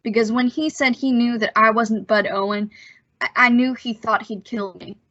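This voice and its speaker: A woman plays a plucky teenage boy in an old radio thriller. The voice is high and bright, with an earnest, slightly hesitant gee-whiz delivery. It sounds close and dry, with dulled lo-fi audio. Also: steady tone, moderate pace, no echo. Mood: earnest recounting. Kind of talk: old-time radio suspense acting.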